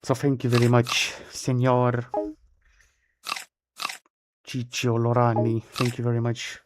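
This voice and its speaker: A man chatting casually while live-streaming a game, in English that is not his first language, since his native tongue is close to Italian. His pitch sits in an ordinary middle range, and he loops back over his own words. A steady hiss of noise and clicking sits under the voice. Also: takes it slowly. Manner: chatty, repeats and rephrases himself.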